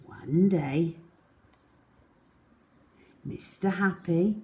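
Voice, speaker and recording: Female children's storyteller, very unhurried, deliberate delivery with big swoops of pitch for a picture-book reading. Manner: slow, deliberate, expressive storytelling with drawn-out words. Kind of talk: children's storybook read-aloud.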